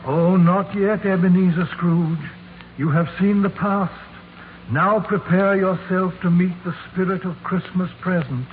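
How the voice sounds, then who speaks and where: Highish, mournful ghost, old radio. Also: male voice, fairly even intonation. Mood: ominous. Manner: slow, portentous. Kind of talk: 1940s radio acting. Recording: narrow-band 1940s radio recording, faint noise.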